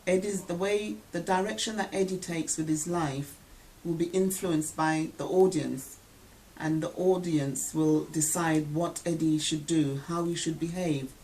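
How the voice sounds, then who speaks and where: A woman presenter speaking in a measured way, rephrasing as she goes and appealing to listeners. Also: sits low, fairly even intonation, warm tone, rough recording. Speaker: interviewer and presenter.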